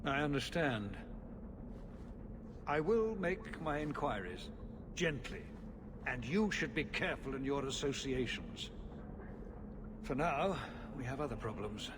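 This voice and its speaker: An actor playing an anxious, exasperated middle-aged city ruler in a video game, speaking formally and theatrically. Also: male voice, medium pitch, big pitch swings, unhurried, pauses often. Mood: weary, troubled. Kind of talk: dramatic video game acting. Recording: loud ambient noise behind.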